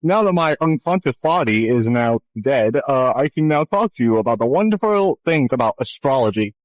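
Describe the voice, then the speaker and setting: A man's voice: medium pitch, expressive, moderate pace, no room sound, poor audio. A man improvising a comedy puppet show in mock showman patter, rambling and swearing crudely. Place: online VR chat session.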